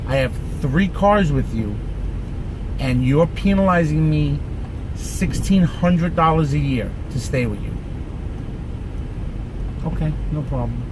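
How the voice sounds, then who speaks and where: A man in his forties telling a chatty, exasperated story to his viewers from inside his car. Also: mid-range voice. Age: 40s. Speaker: vlogger. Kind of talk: casual rant to camera. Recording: steady air conditioning hum.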